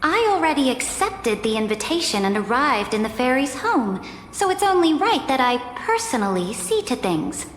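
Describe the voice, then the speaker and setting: A high-voiced, imperious empress. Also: female voice, sing-song, normal speed, a little hiss or hum. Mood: lofty, resolute. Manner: stately, formal, imperious. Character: regal, self-assured female imperator, formal and commanding. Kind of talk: video game voice acting. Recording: echoey hall, faint music.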